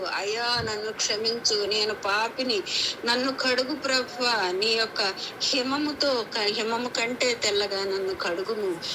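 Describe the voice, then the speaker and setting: A woman preaches a devotional sermon, exhorting earnestly, reading scripture and repeating phrases for emphasis. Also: medium pitch. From India. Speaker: preacher at a prayer meeting. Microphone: phone on a conference call. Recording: noisy conference call audio.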